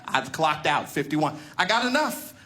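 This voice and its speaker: A man in his fifties doing a stand-up comedy set on a club stage, rambling and repeating himself, getting animated as he acts out stories. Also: a little high, a little slow. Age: 50s. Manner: rambling, repetitive, animated when acting out stories.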